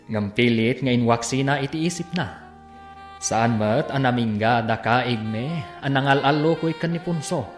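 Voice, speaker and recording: Male radio serial narrator, Ilocano Filipino accent, dramatic and unhurried, echoey with background music. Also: medium pitch. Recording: roomy echo, music behind.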